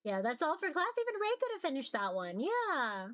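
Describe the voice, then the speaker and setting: A woman's voice: high voice, big pitch swings, fairly quick, fairly bright tone, soft background music. A woman streamer reading a visual novel aloud as narration and commenting casually as she plays.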